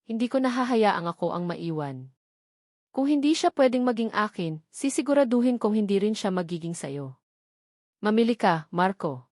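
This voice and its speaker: A woman storyteller voices a scorned, vengeful woman in a romantic audio drama. She is bitter and accusing, taunting her former lover with cold threats. The mid-pitched voice sits in a dry recording. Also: expressive, normal speed, darkish tone, no echo, close to an everyday voice. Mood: vengeful, threatening. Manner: taunting, accusing, switches into English phrases.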